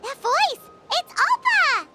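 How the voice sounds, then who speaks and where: Tiny squeaky fairy mascot for a game, super bouncy pitch, excitable, slow-ish delivery. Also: female voice, full character voice. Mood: excited. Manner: childlike, exclamatory. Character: tiny cheerful floating fairy companion, childlike and excitable. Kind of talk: video game voice acting. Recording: loud game music underneath. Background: loud game music.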